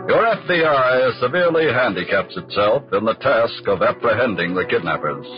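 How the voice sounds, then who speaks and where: An actor as the authoritative narrator of a 1940s radio crime drama, speaking in formal, emphatic, deliberate phrases. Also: male voice, mid-range voice, natural voice. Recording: dull old radio recording, music behind.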